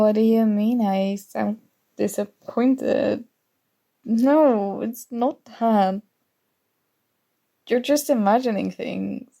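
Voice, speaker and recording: A woman playing a flustered, teasing young student rival in an intimate ASMR roleplay, soft-spoken, mock-annoyed and hesitant. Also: fairly high pitch, creaky, exaggerated voice. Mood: defensive, grudging.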